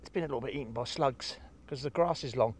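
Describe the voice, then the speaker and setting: A man's voice: medium pitch, quick pace. A man casually narrating a foraging vlog outdoors on a handheld camera, rambling and half talking to himself. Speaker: vlogger and forager. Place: outdoors in a grassy field with trees. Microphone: handheld phone or camera. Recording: very noisy outdoor handheld recording, handling clicks.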